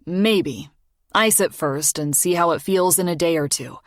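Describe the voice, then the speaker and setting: A woman voicing a calm, reserved grown man, a single father, in audiobook dialogue with a measured, low-key delivery. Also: no echo, clean studio sound, close to an everyday voice.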